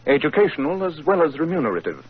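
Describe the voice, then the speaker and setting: An actor playing a cool, brilliant male detective in a 1940s radio drama, with crisp, clipped questions. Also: a little high, sing-song, fast. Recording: muffled 1940s radio broadcast.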